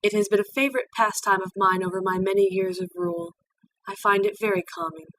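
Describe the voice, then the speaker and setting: A woman voicing a gentle, motherly pony queen, calm and formal, in a storybook reading. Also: medium pitch, fairly quick, close to an everyday voice. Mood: calm, fond. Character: ageless female pony ruler, gentle, motherly, regal mentor. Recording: distant mic with background noise.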